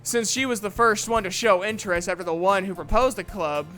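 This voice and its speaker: A woman voicing a reluctant, sardonic high-school boy who narrates his thoughts in a visual novel fan dub. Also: brisk, fairly bright tone, soft background music, close to an everyday voice. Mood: dismissive.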